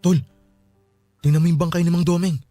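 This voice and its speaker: An actor playing a plainspoken, superstitious young friend in serious, conversational radio drama dialogue. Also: male voice, fairly high pitch, flat delivery, a little fast, warm tone, no room sound, lightly acted. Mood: uneasy, alarmed. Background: faint background music.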